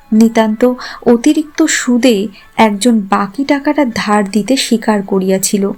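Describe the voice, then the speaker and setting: A woman's voice: on the high side, a little mellow. A woman reading a scripted literary story as its narrator. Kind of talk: scripted literary narration in formal Bengali prose.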